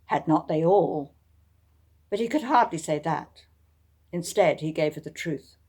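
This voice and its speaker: A woman author presenting and reading her own novel in a measured, deliberate way with frequent pauses. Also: low-pitched, lively intonation, dark tone, husky. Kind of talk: audiobook reading and informal introduction.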